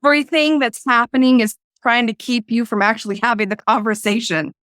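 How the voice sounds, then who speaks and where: A woman's voice: on the high side, fairly quick, fairly bright tone, no room sound, studio quality. A laid-back, chatty woman hosting a podcast from a room at home on her own desk microphone, while she is losing her voice. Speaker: podcast host. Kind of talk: casual chat. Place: home room with blinds closed.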